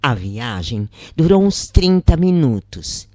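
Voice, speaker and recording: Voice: medium pitch, big pitch swings, takes it slowly, mellow, no room sound, clean studio sound. A narrator reading a scripted first-person story.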